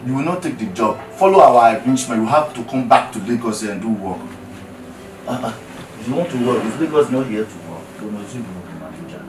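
A man's voice: mid-range voice, lively intonation, brisk, noisy background, natural voice. A man playing a furious jealous Nigerian husband. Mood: indignant. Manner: shouting, repeating himself, pidgin-tinged. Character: angry jealous husband protesting wife's job. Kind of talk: comedy skit acting. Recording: echoey room, mic at a distance, music behind.

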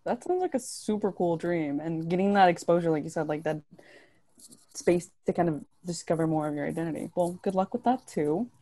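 A woman's voice: lowish voice, a little fast, dark tone, no echo. A young woman moderating an online panel in an encouraging, affirming way. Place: indoors on a video call. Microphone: video call microphone.

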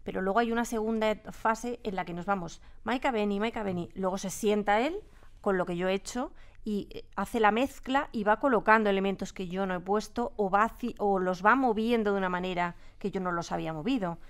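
A woman's voice: mid-range voice, a little fast, clear, resonant tone. A woman with a Spain accent, a sound designer answering interview questions conversationally in a radio studio.

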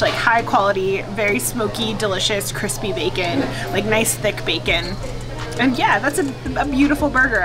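A woman in her thirties chatting enthusiastically to camera as a food vlogger, recorded close in a small restaurant booth. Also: medium pitch. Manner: chatty, enthusiastic, lots of fillers. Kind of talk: casual chat to camera. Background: background music.

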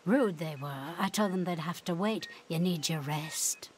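A chatty, caring nurse in a radio play, voiced by an actress. She has a slightly low female voice and a soothing, confiding way, over faint background noise. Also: measured pace, close to an everyday voice. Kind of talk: radio drama acting.

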